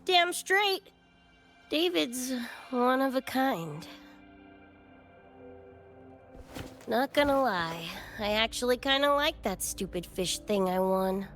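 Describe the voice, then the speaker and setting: A high, swooping young voice a scrappy cyberpunk gang girl in a game. She talks in blunt, cocky slang and takes her time, with some wistfulness underneath the bravado. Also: sing-song, unhurried, fairly bright tone, soft background music, exaggerated voice. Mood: brash, casual. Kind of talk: animated game character dialogue.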